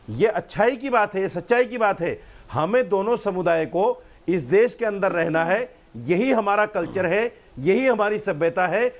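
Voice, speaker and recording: Male imam as TV debate guest. High voice, argumentative, preachy, repetitive for emphasis. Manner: emphatic, preachy, repeats phrases for stress.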